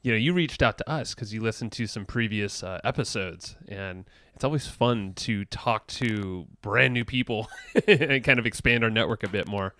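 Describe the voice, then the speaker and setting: Laughing male podcast co-host, low. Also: normal speed, few pauses, sharp tone, no room sound. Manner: friendly, laughing, rambling with fillers. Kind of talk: casual interview chat.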